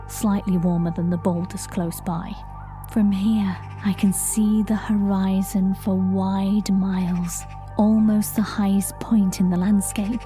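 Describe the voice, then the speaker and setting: An actress playing a haunted folk singer who shapeshifts, narrating her story in a measured, lyrical, reflective way in an audio drama. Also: mid-range voice, dark tone, lightly acted. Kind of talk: audio drama monologue, first-person narration.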